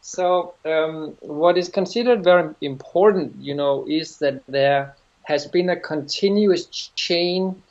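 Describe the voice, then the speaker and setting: Danish-accented man, halting and thoughtful. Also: on the high side, a little mellow. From Denmark. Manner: thoughtful, halting, restarts phrases. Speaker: yoga teacher. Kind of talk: interview answers. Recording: dull lo-fi sound.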